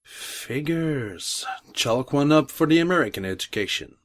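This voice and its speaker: A man's voice: fairly high pitch, expressive, unhurried. An actor playing a brooding, guarded gunman with a dry wit in a fan audio drama. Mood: dry, unimpressed. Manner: dry, restrained.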